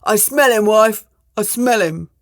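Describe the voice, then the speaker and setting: A woman voicing a huge, gruff, bossy male man-eating ogre in a storybook, with commanding declamation. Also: mid-range voice, expressive, a little slow, no room sound, studio quality. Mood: angry, alarmed.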